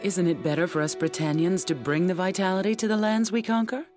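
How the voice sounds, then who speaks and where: Actress doing a blunt military princess for an anime audio drama, lowish voice, hard-headed, bossy, bit of music under. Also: female voice, fairly even intonation, brisk, close to an everyday voice. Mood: firm. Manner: blunt, commanding, no-nonsense.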